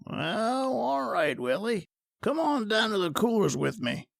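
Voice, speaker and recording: A woman playing a knowing, wry morgue attendant with a deliberate, drawn-out delivery in a 1940s radio drama. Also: medium pitch, sing-song, creaky, dry recording, studio quality, heavily acted. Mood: wry, matter-of-fact.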